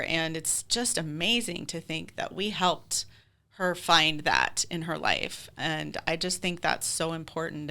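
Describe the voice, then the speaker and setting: A woman's voice: low-pitched, sharp tone. A middle-aged woman answering interview questions as a community theater leader, speaking in an earnest, heartfelt, conversational way.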